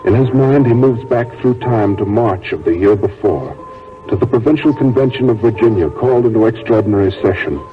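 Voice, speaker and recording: Grave low-pitched male radio narrator. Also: fairly quick. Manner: grave, dramatic. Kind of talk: solemn scripted 1940s radio narration. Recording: tinny narrow-band 1940s radio recording. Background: music.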